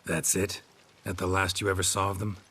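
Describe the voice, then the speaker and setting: An actor playing a gruff, cool-headed male monster hunter in a video game, speaking in clipped, unemotional phrases. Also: low-pitched, monotone, a little fast, fairly bright tone, full character voice. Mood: terse questioning. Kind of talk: understated video game acting.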